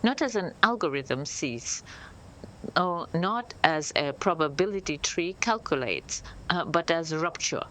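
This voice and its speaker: Woman acting a low, very slow AI. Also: expressive, a bit bright, low-quality recording. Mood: searching. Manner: hesitant, halting. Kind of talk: scripted radio play.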